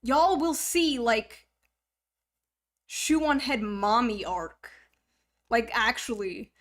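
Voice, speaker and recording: A woman in her thirties chatting casually on a livestream, teasing her viewers, swearing freely and laughing often. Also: on the high side. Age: 30s. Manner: teasing, profane, quick to laugh. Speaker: streamer.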